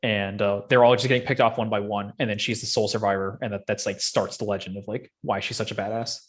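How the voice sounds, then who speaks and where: A man's voice: fairly low pitch, little pitch movement, fast. A man hosting a casual chat, talking in a slangy, opinionated and rambling way. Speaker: podcast host. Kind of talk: casual chat about games and films.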